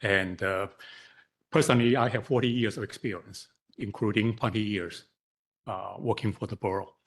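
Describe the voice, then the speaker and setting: Husky Taiwanese-accented older engineer. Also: male voice, fairly low pitch, fairly even intonation. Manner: halting, frequent fillers and repeats. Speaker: consulting engineer. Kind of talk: presentation to council. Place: council chamber.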